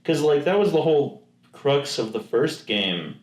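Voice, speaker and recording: A man's voice: a little high, very animated, unhurried. Man, casual podcast chat, rambling, roomy echo. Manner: rambling, many fillers and restarts. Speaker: podcast co-host. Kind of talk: casual chat about video games. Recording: echoey room.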